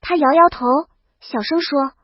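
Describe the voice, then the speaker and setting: High-pitched woman narrating a comic story. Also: normal speed, no echo, dull audio. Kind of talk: first-person comic scripted narration, princess heroine.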